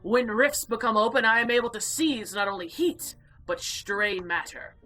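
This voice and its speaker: Woman voicing a haughty, bright-edged ancient void being. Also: fairly high pitch, lively intonation, soft background music, rough recording. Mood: proudly delighted. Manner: formal, haughty, deliberate speech. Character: imperious female eldritch void being, ancient and condescending. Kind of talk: visual novel character voice-over.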